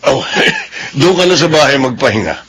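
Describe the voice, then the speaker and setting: A man's voice: mid-range voice, lively intonation, normal speed, a bit bright, slight background noise, lightly acted. An actor playing a hearty, boastful businessman in a radio drama. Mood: jovial. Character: boastful, jovial businessman boss.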